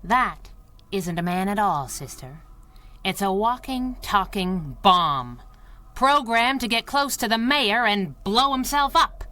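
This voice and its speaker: An actress playing a tough, wisecracking young masked sidekick in a 1930s-style radio adventure, brash and sardonic. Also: female voice, mid-range voice, big pitch swings, takes it slowly, sharp tone, heavily acted. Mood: brisk, hard-boiled.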